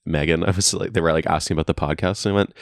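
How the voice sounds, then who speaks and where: A man's voice: deep voice, fairly even intonation, racing, gravelly, no room sound, studio quality. A man co-hosting a casual podcast from a friend's basement, talking in a laid-back, self-mocking way with plenty of fillers. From Canada. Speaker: podcast co-host and editor. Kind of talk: casual chat.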